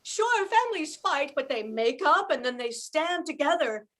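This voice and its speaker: A woman's voice: high-pitched, very animated, normal speed, fairly bright tone. An older woman playing an anxious, tender young widowed mother in an old-time radio soap. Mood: wistful.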